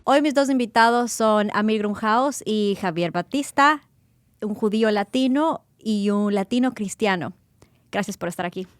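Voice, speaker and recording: A woman hosting an interview podcast, speaking with emphatic, expressive delivery. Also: medium pitch, moderate pace, no room sound. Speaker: podcast host. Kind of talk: interview with scripted intro.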